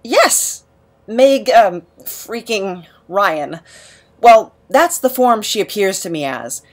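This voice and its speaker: A woman playing an awkward, emotional misfit in an audio drama, hesitant and stammering, quick to put themselves down. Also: mid-range voice, animated. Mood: nervous, sheepish. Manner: hesitant, stammering, self-deprecating. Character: awkward, emotional, rambling misfit named Nap.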